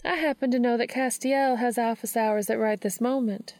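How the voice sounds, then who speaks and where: Storybook mentor, female professor, played by a woman reader: raised pitch, warm, coaxing, firm when pushing. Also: steady tone, normal speed, dry recording, lightly acted. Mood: gently coaxing. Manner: coaxing, insistent.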